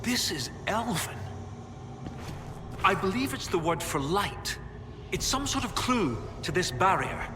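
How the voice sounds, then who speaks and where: An excitable high-voiced scholar. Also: male voice, over music. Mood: intrigued. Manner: halting, muttering, eagerly thinking aloud. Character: excitable, absent-minded young male scholar of ancient history. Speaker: professor. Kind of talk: video game dialogue. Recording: reverberant game hall, noisy ambience.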